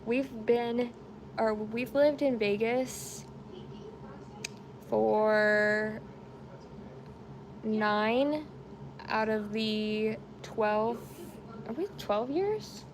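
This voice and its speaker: Slow chatty woman about thirty, kinda high gravelly voice, crochet stream at home, noisy background. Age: around 30. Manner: chatty, rambling, laughs easily. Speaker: crochet livestream host. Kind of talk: casual chat while crocheting, reading comments.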